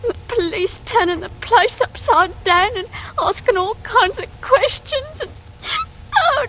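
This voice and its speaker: A very high, swooping voice: a young English working-class maid, gossipy and clinging. She pleads breathlessly in old-time radio drama style, on a noisy vintage recording. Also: expressive, a little slow, pushed and bright, heavily acted. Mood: tearful, pleading. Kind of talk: 1940s radio acting.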